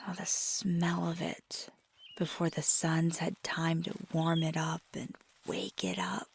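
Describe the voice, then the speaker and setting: Woman acting a creaky-voiced, feisty affectionate girlfriend. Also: deep voice, a little hiss or hum, exaggerated voice. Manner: tender, teasing, lapsing into whispers. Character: feisty affectionate magical girlfriend, young woman, outdoorsy and sweary. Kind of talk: intimate ASMR roleplay, soft and breathy.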